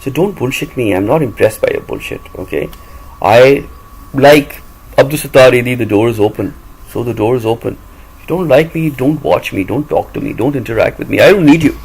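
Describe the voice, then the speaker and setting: A man's voice: mid-range voice, fairly quick, gravelly, darkish tone, breathy edge, low-quality recording. A man from Pakistan with a Pakistani English accent, whose first language is Urdu, speaking bluntly and defiantly in a personal monologue. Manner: blunt, combative, repetitive emphasis. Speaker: voice-over reader and vlogger.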